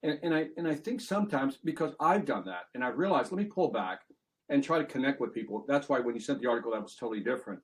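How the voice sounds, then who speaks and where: A man's voice: mid-range voice, lively intonation, fast talker. A man hosting a sales podcast who chats in a loose, conversational way, often restarting his sentences and laying out his points as numbered items. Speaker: podcast host. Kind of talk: podcast interview.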